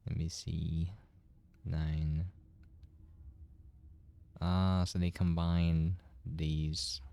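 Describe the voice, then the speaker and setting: A man's voice: extremely low pitch, mellow. A young man giving casual gameplay commentary, muttering as he thinks aloud. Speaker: gamer commenting on a playthrough.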